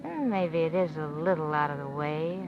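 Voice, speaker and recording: Actress as an alluring radio-thriller hitchhiker, muffled sound. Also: female voice, sits low, moderate pace, noisy background, natural voice. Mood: coy, breezy. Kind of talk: 1950s radio thriller acting. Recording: dull 1950s radio recording with music.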